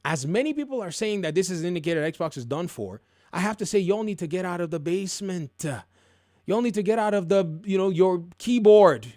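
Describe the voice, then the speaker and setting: A man's voice: sits high, expressive, a little fast, a little mellow, dry recording, clean studio sound. A man hosting casual, opinionated video game commentary, telling stories in a colloquial, emphatic way and repeating phrases for effect.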